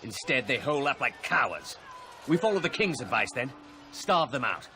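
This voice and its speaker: An actor playing a cold, contemptuous male commander who speaks in measured tones. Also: medium pitch, sing-song, bright, forceful tone. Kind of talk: video game cut-scene acting. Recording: noisy game audio.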